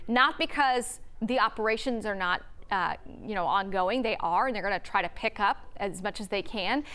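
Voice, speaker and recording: A woman's voice: medium pitch, normal speed, fairly bright tone, clean tone. A woman presenting a live weather broadcast, explaining things in a conversational, unscripted way.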